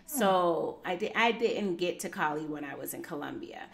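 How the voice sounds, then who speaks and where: A woman's voice: fairly low pitch, lively intonation, moderate pace, vocal fry, fairly bright tone. Blunt, frank woman host. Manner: frank, blunt, casual slang. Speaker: podcast host. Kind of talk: casual interview chat.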